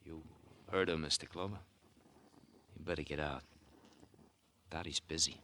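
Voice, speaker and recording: An actor playing a glib, wry young husband in a 1950 radio crime drama, breezy and sardonic. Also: male voice, a bass voice, steady tone, moderate pace. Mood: quietly cold. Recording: old radio recording with faint noise.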